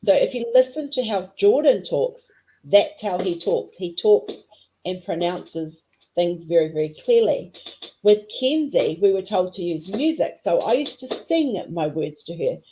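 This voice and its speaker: A woman's voice: lively intonation, unhurried, dark tone, slight background noise, rough recording. A middle-aged woman with a New Zealand accent tinged with Australian, chatting casually on a livestream in a sing-song voice that rises and falls. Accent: New Zealand, slightly mixed with Australian. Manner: sing-song, pitch going up and down. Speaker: livestream host, diamond painting artist.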